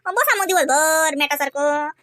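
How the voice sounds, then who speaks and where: A woman's voice: sits high, moderate pace, bright, forceful tone, no room sound, studio quality. A woman voicing homely village women in a comedy cartoon, gossiping in folksy Varhadi Marathi from Vidarbha. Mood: chatty, grumbling.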